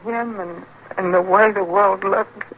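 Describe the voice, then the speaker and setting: An actress playing a worn-down, frightened frontier wife, halting and rustic, with an American accent in 1950s radio western style. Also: female voice, medium pitch, steady tone, muffled recording, natural voice. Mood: wistful, sorrowful. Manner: halting, stammering, rustic speech. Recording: noisy old radio drama recording.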